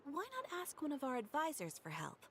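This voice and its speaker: A polite guild receptionist. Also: female voice, high voice, big pitch swings, a little fast, very noisy. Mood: courteous. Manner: polite, formal and explanatory. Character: polite adult female guild receptionist, helpful and formal. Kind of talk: video game dialogue. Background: game music.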